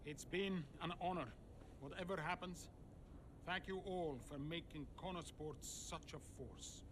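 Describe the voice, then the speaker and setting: A sincere racing team member acted by a man with a slightly high voice. He talks slowly and appreciatively in a game cutscene, with clear ambient noise behind. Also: lightly acted. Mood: grateful, solemn.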